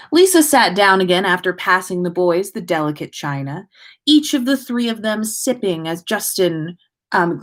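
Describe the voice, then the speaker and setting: A woman podcast host reading a story aloud as narration for a livestream. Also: mid-range voice.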